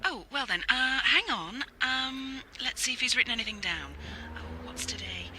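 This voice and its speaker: A woman's voice: fairly high pitch, big pitch swings, moderate pace, bright, forceful tone, slight background noise, full character voice. An actress playing a flustered but helpful woman in a radio drama, with a hesitant manner. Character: flustered, helpful woman checking someone's diary. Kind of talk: naturalistic radio drama acting.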